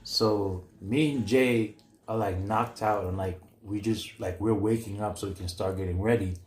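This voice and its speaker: A young adult man telling a story in casual, slangy chat, halting and repeating himself with some disbelief. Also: lowish voice, steady tone, darkish tone. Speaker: guest co-storyteller. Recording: echoey, roomy sound.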